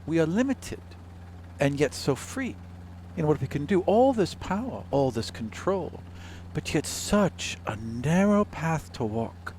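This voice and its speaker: A man voicing a lofty, world-weary young demigod prince who speaks in a formal, measured way. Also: a little high, very animated, a little mellow, slight background noise, low-quality recording. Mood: lofty, solemn.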